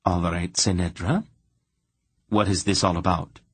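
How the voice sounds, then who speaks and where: Calm, gently chiding motherly lady, read by a male narrator, low and husky. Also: a little slow, no room sound. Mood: gentle.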